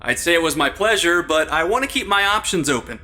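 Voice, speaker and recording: A man's voice: on the high side, animated, fairly quick, pushed and bright. An actor playing a suspicious, wisecracking everyman guest in an audio drama, with dry sarcasm. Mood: wary, sarcastic.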